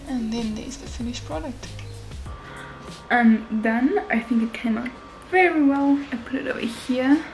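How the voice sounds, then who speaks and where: A woman in her twenties chatting casually to viewers in an informal vlogging style. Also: on the high side. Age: 20s. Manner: informal, addressing viewers directly. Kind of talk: casual vlog chat and recipe voice-over. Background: music.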